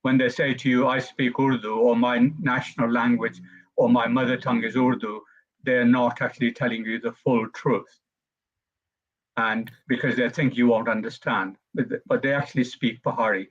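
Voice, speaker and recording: A man speaking on an online panel, measured and thoughtful, pausing often with fillers. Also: medium pitch, steady tone, muffled recording. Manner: measured, thoughtful, many hesitations.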